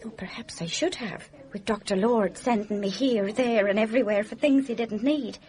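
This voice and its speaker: A woman acting as a gossipy nurse in a radio play. Her voice is mid-pitched, and she talks in a chatty, folksy way, plain-spoken and emphatic. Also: moderate pace, darkish tone, close to an everyday voice. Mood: indignant. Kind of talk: radio mystery drama acting.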